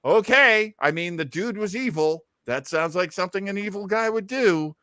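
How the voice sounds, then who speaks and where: A man in his fifties hosts a casual discussion. His voice is bright and forceful with a dry, close sound, and he explains in halting steps. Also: high voice, smooth, no echo. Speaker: host of an old-time radio listening party.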